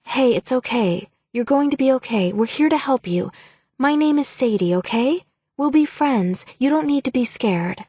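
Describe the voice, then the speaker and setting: A woman voicing a sweet young mermaid girl who talks in a gentle, soothing way. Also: a little high, sing-song, measured pace, husky, no room sound, voice pushed up, heavily acted.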